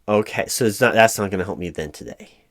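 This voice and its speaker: A man playing a flustered, hapless corporate recruiting manager in a one-sided spoken roleplay, hesitant and stammering, with an awkwardly polite, monotonous delivery. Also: fairly low pitch, quick pace. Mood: hesitant, frustrated. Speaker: job interviewer.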